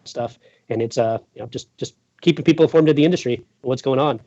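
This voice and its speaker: A man's voice: medium pitch, fast, warm tone. Easygoing rambling man, dull lo-fi sound. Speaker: guest, boating news journalist. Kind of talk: casual chat.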